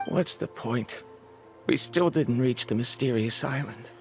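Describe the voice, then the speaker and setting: An actor playing a proud, stubborn, emotional researcher in an anime-style video game, with a creaky voice. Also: male voice, medium pitch, unhurried, loud music behind the voice, natural voice. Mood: dejected. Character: stubborn, despairing male researcher, proud and childish.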